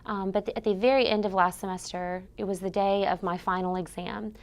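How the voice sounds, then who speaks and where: Young woman voice student, smooth resonant voice, matter-of-fact. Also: mid-range voice, fairly even intonation, brisk. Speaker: vocal music student. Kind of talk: personal testimonial.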